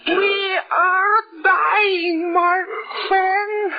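A man's voice: extremely high pitch, fairly even intonation, over music, exaggerated voice. A man playing a formal, grave, anguished scientist in an old-time radio horror drama. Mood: despairing. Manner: formal, halting, solemn delivery. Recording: muffled lo-fi old radio recording.

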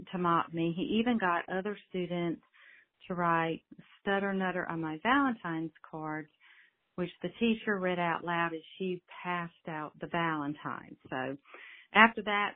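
A middle-aged woman who stutters, a speech-language pathologist, telling her own story in a voicemail. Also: on the low side. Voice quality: stutters, with some repeated words. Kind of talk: recorded voicemail, personal story. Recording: tinny phone line voicemail.

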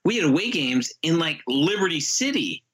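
A man co-hosting a comedy podcast in casual banter, telling stories and asking quick questions. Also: fairly high pitch, big pitch swings, fairly bright tone, breathy edge. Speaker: podcast co-host.

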